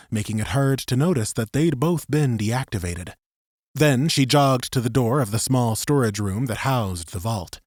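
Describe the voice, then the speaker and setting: A man's voice: medium pitch, a little mellow, no room sound, studio quality. A man narrating a scripted third-person story as an audiobook narrator.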